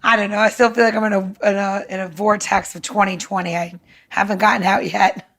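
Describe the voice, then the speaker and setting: A woman's voice: medium pitch, level tone, brisk, a bit bright. A woman co-hosting a podcast in casual chat, blunt, joking and salty-tongued. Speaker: podcast co-host.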